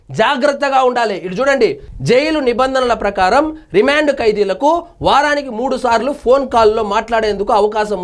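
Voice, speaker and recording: Male news analyst, extremely high pitch, emphatic, indignant, opinionated commentary. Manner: indignant, emphatic, rhetorical questioning.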